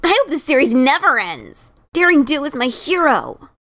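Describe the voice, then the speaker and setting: A woman acts out a brash young cartoon pegasus mare, pitched high and full of cocky excitement. She boasts and gushes like a devoted fan in an audio story. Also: normal speed, heavily acted. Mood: adoring. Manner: boastful, gushing. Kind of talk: dramatic reading of fan fiction.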